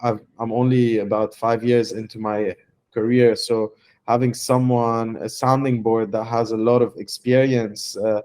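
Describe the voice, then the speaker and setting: A young man from Lebanon, a startup founder giving a testimonial interview, speaking haltingly with many fillers and restarts. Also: medium pitch, flat delivery, darkish tone.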